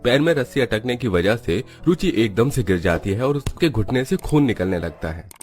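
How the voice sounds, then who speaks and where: A man's voice: medium pitch, animated, moderate pace, a little mellow. A man reading scripted narration for a children's moral story. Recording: music behind the voice.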